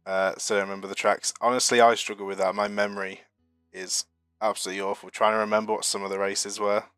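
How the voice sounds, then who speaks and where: A young British-sounding man getting over a flu chats loosely through a live stream, rambling and poking fun at himself between fillers. His voice sits low and stays fairly flat, heard up close and dry, with faint music under it. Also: fast talker, clean tone, no room sound. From United Kingdom. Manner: chatty and rambling, self-deprecating, with frequent fillers. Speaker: streamer hosting a marble racing channel. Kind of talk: casual live-stream chat reading chat messages.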